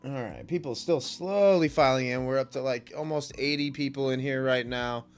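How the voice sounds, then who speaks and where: Man, mid-pitched voice, slightly stuffy from a cold, livestream trading host, casual rambling commentary, informal slang, laid-back, faint background music. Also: normal speed. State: stuffy nose and cough from a cold. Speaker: livestream host, futures day trader. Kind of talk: casual live market commentary and chat.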